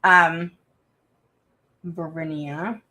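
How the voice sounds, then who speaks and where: A middle-aged woman hosting a live stream for parents, reading out viewer comments. Her voice sits low but has a bright, forceful edge. She talks frankly, with plenty of fillers and restarts. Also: fairly even intonation, very slow. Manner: calls herself a roaring mama advocate. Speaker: host of a live stream for special education parents.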